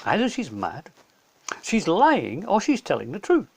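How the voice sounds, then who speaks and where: A man voicing a wise, gently firm professor who reasons carefully in a children's storybook. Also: sits high, huge pitch swings, vocal fry, breathy edge, full character voice. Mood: reasoning. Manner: measured reasoning, questioning.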